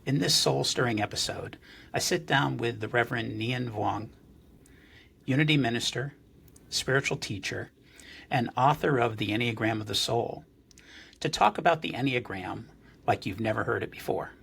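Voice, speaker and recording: A man's voice: medium pitch, moderate pace, gravelly, fairly bright tone, breathy edge. A man hosting a spiritual interview podcast, talking earnestly with frequent fillers and restarts. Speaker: podcast host.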